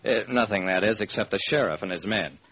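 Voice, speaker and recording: A man's voice: lowish voice, a little fast, fairly bright tone, slightly rough. An actor playing a wry private detective who narrates his case in theatrical rhyme, in old-time radio style. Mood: brisk storytelling. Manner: theatrical, sing-song rhyming delivery. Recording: noisy vintage radio recording.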